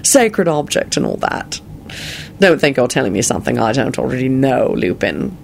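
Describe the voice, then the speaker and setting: A man's voice: medium pitch, lively intonation, quick pace, creaky, a little hiss or hum. A man voicing a disdainful aristocratic wizard villain in an audiobook-style story, with a bored, sarcastic drawl. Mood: bored sarcasm.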